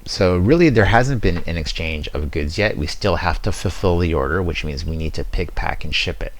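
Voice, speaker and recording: A man presenting a software tutorial, walking through a screen demo in a casual, conversational and explanatory way. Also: low voice, expressive, moderate pace.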